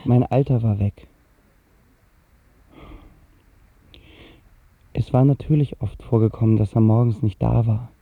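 A young man playing a dazed, heartbroken teenage son of hippie parents, narrating a radio drama in short, halting, reflective sentences. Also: medium pitch, fairly even intonation, gravelly, lightly acted. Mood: downcast. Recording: narrow-band radio recording, music behind.

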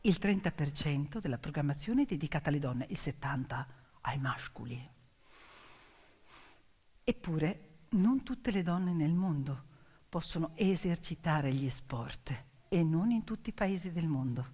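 A woman's voice: low voice, animated, unhurried, husky. Woman storyteller in a long-echoing hall. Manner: emphatic, swinging from hushed to declaimed. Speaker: stage actress and storyteller. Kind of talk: dramatic theatrical storytelling monologue with piano. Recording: long reverberant hall with music. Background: piano music.

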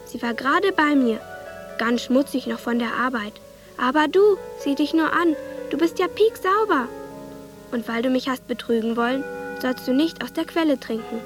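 Folk-tale God for radio play, done by a woman storyteller, stern, solemn, high-pitched, music beneath. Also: animated, normal speed. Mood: stern rebuke. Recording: studio radio drama with music.

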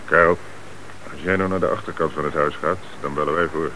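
An actor in a Dutch radio play playing a police commissioner who puts terse, probing questions with businesslike authority. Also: male voice, a bass voice, fairly even intonation, a little fast. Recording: noisy old radio play recording.

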